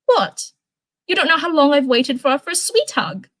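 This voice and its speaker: Woman reading as earnest, expressive teen girl. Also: sits high, normal speed, pitched above the performer's own voice. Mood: delighted. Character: bubbly, earnest teenage girl who loves hugs and plants. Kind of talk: storybook character voice.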